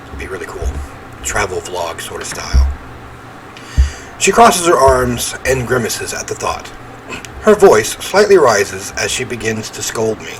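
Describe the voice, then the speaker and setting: A man with a fairly low voice hosts a let's-play. He talks casually, reading out game text and adding informal asides. There is heavy noise behind him. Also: very animated, measured pace, low-quality recording. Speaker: gaming video host.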